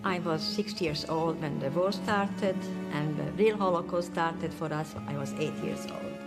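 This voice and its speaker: A woman's voice: low voice, measured pace. A woman in her eighties giving Holocaust testimony in plain, short, matter-of-fact sentences. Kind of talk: recorded testimony interview. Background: soft music.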